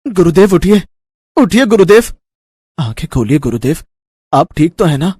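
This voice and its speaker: A man's voice: high-pitched, sing-song, normal speed, darkish tone, a little hiss or hum, pitched above the performer's own voice. A man voicing a young male disciple in a storybook tale, devoted, anxious and brave, with an eager, respectful, pleading manner. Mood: worried. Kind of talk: animated storybook character acting.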